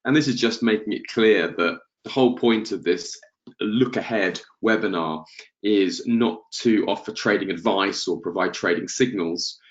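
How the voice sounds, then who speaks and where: A man's voice: lowish voice, highs cut off. Congested man hosting a market webinar. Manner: hesitant, many ums and restarts. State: congested from flu, coughing.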